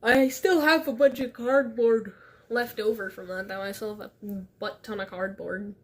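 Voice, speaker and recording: A woman's voice: on the high side, fairly even intonation, normal speed. A woman streaming while crafting, reading chat questions aloud and answering casually, a little stuffed up and sniffly. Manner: reads chat questions aloud, chatty and laughing.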